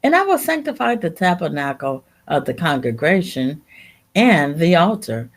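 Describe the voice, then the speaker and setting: A woman reading scripture aloud in a deliberate, halting way, sometimes repeating a word as she goes. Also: low voice, very animated. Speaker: Bible reader.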